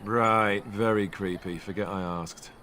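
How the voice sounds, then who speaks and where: An actor playing an earnest young warrior in a fantasy video game, conversational, wry and self-deprecating. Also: male voice, lowish voice, fairly even intonation, measured pace, bright, forceful tone. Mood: wry, downcast.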